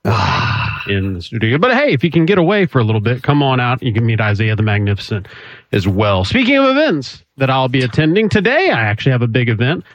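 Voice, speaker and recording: A man hosting a call-in radio talk show, brisk, chatty and joking. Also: medium pitch, wildly swooping pitch, no echo. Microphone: studio microphone. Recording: slightly dull broadcast audio.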